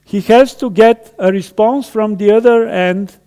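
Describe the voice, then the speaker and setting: A man's voice: high voice, a little slow, warm tone, smooth. A man from Bulgaria in his fifties giving a conversational technical tutorial lecture, explaining with everyday stories. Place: conference hall. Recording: long hall reverb.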